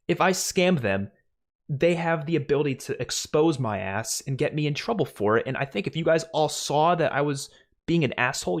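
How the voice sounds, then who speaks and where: A young man with a light New York accent answers viewer questions on a home live stream, talking in an animated, swearing, easygoing way. He is close on a boom mic in a small room. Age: young adult. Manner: animated, swearing, laughs a lot. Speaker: streamer host. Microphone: studio mic on boom, headphones.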